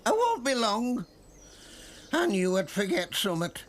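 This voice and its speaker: A woman's voice: mid-range voice, wildly swooping pitch, a little slow, a little hiss or hum. A woman voicing a forgetful, friendly old granny in a children's cartoon, fussy and folksy, with a Northern English accent. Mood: flustered.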